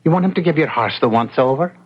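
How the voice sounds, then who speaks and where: A man's voice: a little high, animated, fast. An actor voicing an officious, wheeling-dealing policeman in an old-time radio drama, mixing pompous legalese with folksy slang. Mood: sly, cajoling. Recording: dull old-radio sound.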